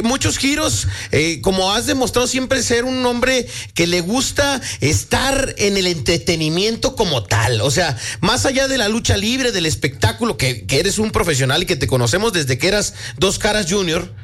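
A man's voice: sits high, sing-song, moderate pace, few pauses, fairly bright tone. A man with a Mexican accent hosting a casual, effusive entertainment interview. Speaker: show host, interviewer. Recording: music playing faintly behind.

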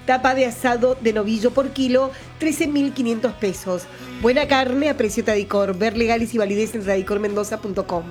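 A woman announcer reading a radio commercial as an upbeat sales pitch. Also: steady tone, fairly quick, a little mellow, soft background music.

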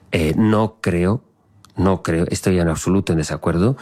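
A middle-aged man, a native Catalan speaker, hosting a casual radio podcast chat in a measured, earnest way. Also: deep voice. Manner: measured, earnest, defends journalism calmly. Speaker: radio host.

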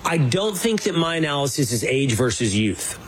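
A man's voice: medium pitch, sing-song, moderate pace, background noise. A young man co-hosting a casual political talk, speaking earnestly and emphatically with frequent restarts. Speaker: co-host.

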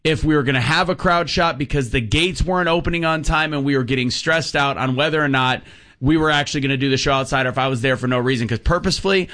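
A man in his thirties with a loud voice, telling energetic stories as a guest on a talk radio show. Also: on the high side, quick pace. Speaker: guest, crowd hype man.

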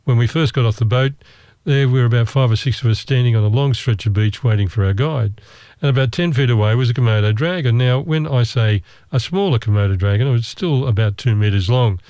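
A man's voice: mid-range voice, a little fast, dark tone, dry recording. Man, storyteller, dry close sound, wry and self-mocking. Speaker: travel storyteller. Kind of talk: casual storytelling.

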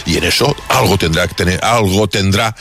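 Low-voiced man talking fast on radio. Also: fairly bright tone. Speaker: sports commentator. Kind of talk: radio panel discussion.

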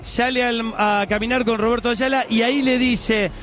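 A man with an Argentine accent hosting a radio sports show, talking fast and excitedly in informal chat. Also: very high-pitched, soft background music. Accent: Argentine Spanish.

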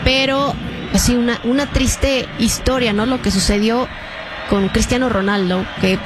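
Woman, sports commentator, higher voice, fast live calling, noisy broadcast. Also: fairly even intonation. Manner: fast, rising with the action, many fillers. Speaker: play-by-play commentator.

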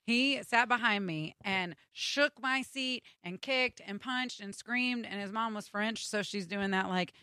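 An American woman, young adult, telling an animated, exasperated comic story in casual chat. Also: mid-range voice, a little slow, hardly any pauses, clean tone, no room sound, clean studio sound. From United States. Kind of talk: casual storytelling on a radio show.

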